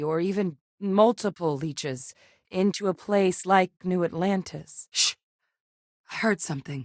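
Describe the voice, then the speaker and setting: An actress playing a composed female military officer in a video game, speaking in a measured, matter-of-fact way. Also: on the low side, darkish tone, no echo, studio quality, lightly acted. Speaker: game character. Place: recording studio.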